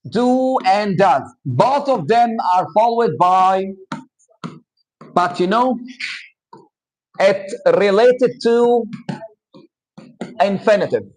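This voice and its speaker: High-voiced Egyptian English teacher. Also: male voice, animated, a little mellow, poor audio. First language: Arabic. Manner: slow, explanatory, switches into Arabic to explain. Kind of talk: live classroom grammar lesson.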